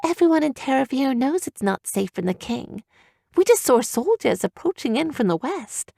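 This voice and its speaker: A woman's voice: high voice, big pitch swings, moderate pace, vocal fry, mellow, dry recording, studio quality, voice pushed up, heavily acted. A woman voicing a fiery young heroine who speaks urgently and defiantly.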